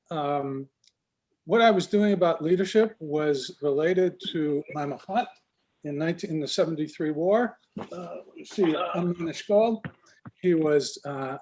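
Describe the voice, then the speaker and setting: A man in his sixties tells his own story at a thoughtful, unhurried pace. His voice sits a little higher than most men's. He stops often to search for words, filling the gaps with soft hesitations. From Israel, raised in Canada. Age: 60s. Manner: reflective, halting with many fillers. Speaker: guest, business coach. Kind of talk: personal life story in conversation.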